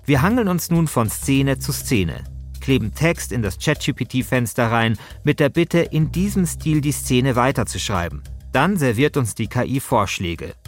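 A man's voice: medium pitch, animated, measured pace, soft background music. A man hosting and narrating a podcast from a conversational script with a wry, ironic manner.

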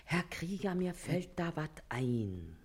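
Low-voiced, animated sly Berlin washerwoman. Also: husky, exaggerated voice. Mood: sly, cautious. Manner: blustering, folksy, quick-witted. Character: shrewd, sly middle-aged working-class Berlin washerwoman, quick-tongued mother. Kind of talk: German stage comedy acting.

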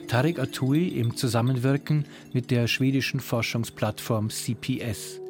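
A man reporting as a culture journalist, reading a scripted radio feature in a measured, descriptive way. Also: medium pitch, music in the background.

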